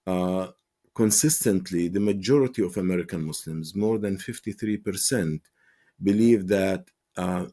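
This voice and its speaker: A man speaking with a low, mellow voice as an advocacy spokesman in a TV interview. He talks earnestly and with passion, often pausing on fillers and restarting his sentences. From Palestine. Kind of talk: TV interview answers.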